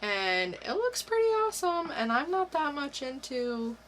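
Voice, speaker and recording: A woman's voice: high-pitched, sing-song, measured pace, a bit bright, a little hiss or hum, poor audio. A woman co-hosting a podcast in a casual, conversational style. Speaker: podcast co-host. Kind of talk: casual chat.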